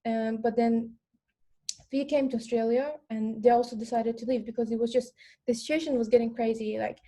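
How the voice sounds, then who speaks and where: Young female speaker from Afghanistan, English second language. Slightly high, mellow voice, hesitant phrasing, informal panel delivery. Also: fairly even intonation. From Afghan, lived in Iran. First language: not English. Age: young adult. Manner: halting, repeats words, frequent "like" and "you know". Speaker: panel speaker, former refugee. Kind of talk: informal panel talk, personal account.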